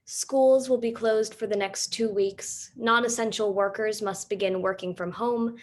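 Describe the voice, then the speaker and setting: A woman's voice: mid-range voice, fairly even intonation, measured pace. Young woman narrating a personal stage monologue. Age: teens to young adult. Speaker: student playwright and narrator.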